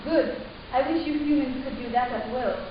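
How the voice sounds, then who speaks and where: A woman playing a calm, commanding alien matriarch in a dramatic reading, clinical and unsentimental. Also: high-pitched, normal speed, lo-fi sound, natural voice. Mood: matter-of-fact. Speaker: stage reader. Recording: echoey room from far off, hissy.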